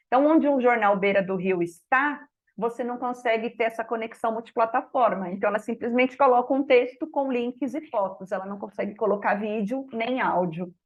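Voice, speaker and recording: A woman's voice: a little high, lo-fi sound. A woman with a Brazilian Portuguese accent presenting research at a conference, explaining it in an informal, spoken way with frequent tag questions. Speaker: academic researcher presenting a study.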